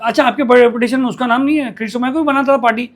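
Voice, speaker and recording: A man's voice: very high-pitched, steady tone, racing. A man, hosting a news interview. He pushes his point insistently, often cutting in and repeating phrases for emphasis. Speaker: interviewer for a local news channel.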